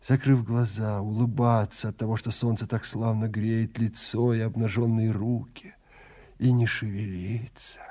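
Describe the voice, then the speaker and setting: A man voicing an anxious, haunted wife in flight in an audio drama, in a hushed, brooding manner. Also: mid-range voice, flat delivery, measured pace, close to an everyday voice. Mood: wistful.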